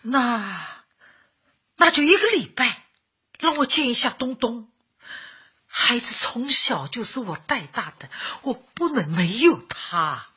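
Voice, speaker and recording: A woman's voice: fairly high pitch, very animated, slow, sharp tone, slightly rough, full character voice. An actress in a radio drama playing a grief-stricken elderly grandmother, tearful and pleading. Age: older. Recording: dull lo-fi, music behind.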